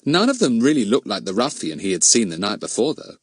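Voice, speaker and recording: A man reading a children's story as an audiobook narrator, in an expressive storytelling delivery. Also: fairly low pitch, a little fast, slightly rough, no room sound.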